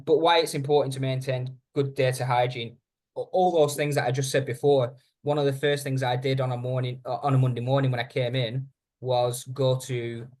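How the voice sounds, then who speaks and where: Guy doing a webinar training talk, chatty and informal, mid-pitched voice. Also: a little mellow. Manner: informal, addresses listeners directly. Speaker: webinar presenter. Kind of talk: conversational software training presentation.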